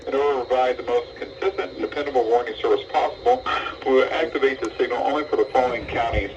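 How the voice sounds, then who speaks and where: A man's voice: mid-range voice, fast, background noise. A man reading a scripted public service announcement as a weather radio announcer, formal and impersonal. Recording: muffled distant weather radio broadcast, music behind.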